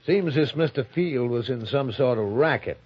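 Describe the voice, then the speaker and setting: Husky, folksy police sergeant. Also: male voice, mid-range voice, expressive. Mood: folksy, inquisitive. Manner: halting, informal, folksy questioning. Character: plain-spoken, folksy male police sergeant, inquisitive and hesitant. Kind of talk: 1950s radio drama acting. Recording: muffled old radio recording.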